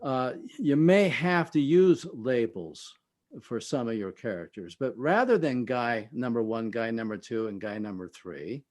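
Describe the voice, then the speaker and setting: A man speaking as an expert guest in an interview, explaining in a halting, conversational way and often trailing off at the ends of phrases. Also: mid-range voice, animated, darkish tone.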